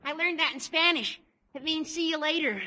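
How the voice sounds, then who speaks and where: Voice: high voice, brisk, very noisy, natural voice. Performer doing an eager, bouncy teenage boy. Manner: earnest, excitable chatter. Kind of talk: 1950s radio sitcom acting.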